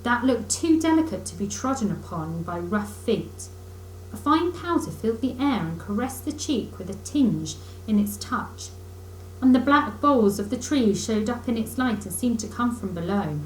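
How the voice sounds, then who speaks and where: A woman reading a children's book aloud in expressive storybook narration, like a teacher reading to pupils. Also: fairly high pitch, normal speed. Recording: echoey room with faint noise.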